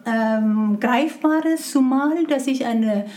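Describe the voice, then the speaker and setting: Middle-aged Iranian woman with a Persian accent, high voice, halting non-native German, full of fillers. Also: mellow. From Iran. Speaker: interview guest. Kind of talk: conversational interview.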